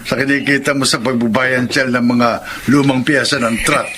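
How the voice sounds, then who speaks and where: An actor playing a smug, chauvinist crony in a Filipino radio drama, sneering and self-satisfied. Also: male voice, mid-range voice, flat delivery, normal speed, a bit bright, a little hiss or hum, close to an everyday voice.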